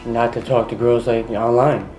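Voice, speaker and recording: A nervous man answers questions haltingly and defensively, trailing off mid-sentence. His voice sits in a roomy space on a TV clip, with faint music in the background. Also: medium pitch, little pitch movement, darkish tone. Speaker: man confronted on camera.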